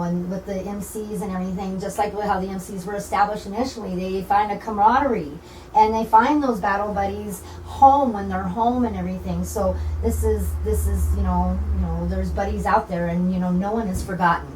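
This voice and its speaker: A woman's voice: mid-range voice, fairly even intonation, a little fast, darkish tone. An American woman speaking informally as an interview guest, earnest and rambling, often repeating her phrases. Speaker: community organizer and interview guest. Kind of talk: informal radio interview talk. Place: echoey indoor broadcasting room. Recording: roomy, distant mic with faint noise.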